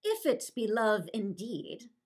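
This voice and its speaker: A woman's voice: fairly high pitch, very animated, takes it slowly, breathy edge, exaggerated voice. A woman playing an imperious, teasing queen in theatrical Shakespearean verse, mocking and declamatory. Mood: coy. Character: imperious, teasing Egyptian queen taunting her lover.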